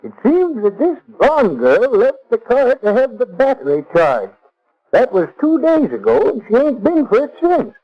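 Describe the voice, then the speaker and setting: A man's voice: very high-pitched, big pitch swings, normal speed, muffled recording, heavily acted. A male radio actor playing a folksy, obliging old homeowner with rustic, colloquial speech on an old 1930s recording. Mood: helpful. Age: older.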